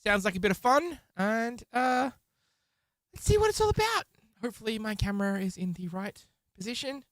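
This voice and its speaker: A man's voice: high voice, sing-song, moderate pace, a bit bright, no echo. Man, live stream host, swooping intonation, showman-like delivery, casual gaming commentary, dry close-mic sound. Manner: theatrical, showman-like address.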